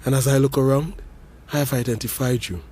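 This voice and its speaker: An actor playing a grieving brother who gives an intense, accusing eulogy, swinging from hushed menace to shouts. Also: male voice, medium pitch, steady tone, brisk, breathy edge, lightly acted. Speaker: eulogy speaker at a funeral. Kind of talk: dramatic audio story monologue.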